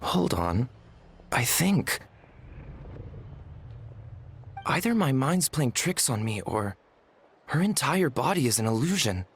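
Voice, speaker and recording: A man's voice: medium pitch, very animated, unhurried, slight background noise. A man playing an earnest, self-deprecating and polite young man in a video game. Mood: hesitant. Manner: earnest, hesitant, humble. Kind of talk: video game cutscene voice acting.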